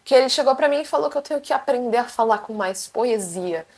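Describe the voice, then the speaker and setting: A young woman with a Brazilian Portuguese accent, chatting to camera as a vlogger and telling stories in a lively, animated way. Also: a little high, fast. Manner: animated, chatty, acts out quotes. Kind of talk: casual storytelling to camera.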